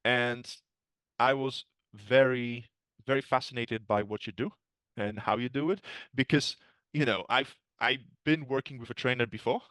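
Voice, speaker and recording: A man as a guest in a relaxed interview. He talks candidly and thoughtfully, laughing easily. His voice is smooth and resonant, and the sound is dry and close. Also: medium pitch, moderate pace, no echo. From Poland. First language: Polish. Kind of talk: casual conversation.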